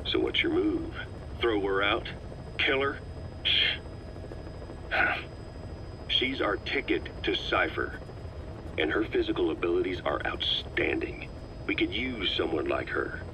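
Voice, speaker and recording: Low voiced army officer in a game, calm n calculating, persuasive, noisy recording. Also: male voice. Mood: firm, challenging. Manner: measured, assured, reasoning patiently. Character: calm, persuasive, calculating male military officer, middle-aged. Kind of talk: dramatic game voice acting.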